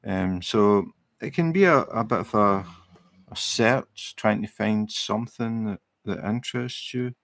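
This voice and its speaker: A middle-aged Scottish man chatting casually on a livestream while he crafts, relaxed and rambling, with many fillers and restarts. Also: on the low side, unhurried, gravelly, no echo. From Scotland. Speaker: livestream host. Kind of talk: casual chat while crafting, reading viewer comments.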